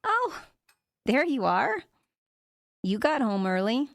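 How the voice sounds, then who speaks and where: High-voiced, slow-talking exasperated wife. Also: female voice, sing-song, no room sound, studio quality, exaggerated voice. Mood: sweet, casual. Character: exasperated working wife, sweet turning sharply disappointed. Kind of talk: naturalistic audio drama acting.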